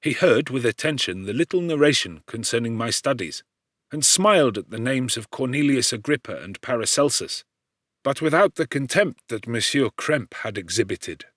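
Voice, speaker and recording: A man reading literary narration for an audiobook in a measured, formal and dramatic manner. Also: medium pitch, lively intonation, a bit bright, no room sound, studio quality.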